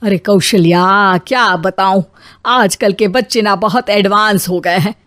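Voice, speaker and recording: A woman's voice: mid-range voice, measured pace, fairly bright tone, no room sound, clean studio sound, close to an everyday voice. A woman playing a domineering older mother-in-law in a dramatized family story, with a dramatic, scolding manner. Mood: complaining.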